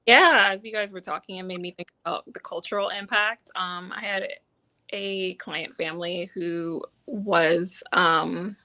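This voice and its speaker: A woman telling a reflective personal anecdote on a panel, choosing her words in a halting, thoughtful way. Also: fairly low pitch, fairly even intonation, pushed and bright. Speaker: behavior analysis professional on a panel.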